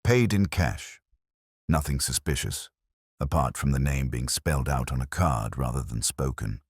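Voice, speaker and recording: A man's voice: a bass voice, normal speed, breathy edge, no echo, clean studio sound. A man voicing a dutiful police sergeant in an audiobook mystery, reporting plainly and deferentially with a mildly wry touch.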